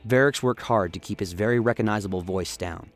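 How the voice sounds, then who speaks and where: A man's voice: fairly low pitch, brisk, soft background music. A man giving scripted, dramatic narration of a story as the narrator of a radio play.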